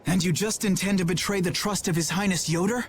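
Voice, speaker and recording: An actor playing an earnest, righteous, dismayed young knight in a game, speaking forcefully. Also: male voice, high voice, fairly even intonation, a little fast, slight background noise, natural voice. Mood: appalled. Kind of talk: English game dub acting.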